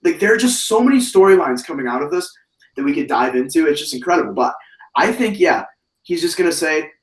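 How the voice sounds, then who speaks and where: A man with a hoarse voice hosting a casual wrestling review, talking in an animated, rambling way with many fillers and restarts. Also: on the high side, a little fast, reverberant, recorded from a distance, low-quality recording. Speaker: wrestling podcast host.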